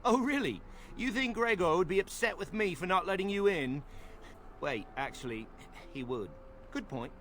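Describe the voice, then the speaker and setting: A snide, high-voiced guard. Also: male voice, animated, normal speed, fairly bright tone, slight background noise. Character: snide young male guard at a dock. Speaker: game character.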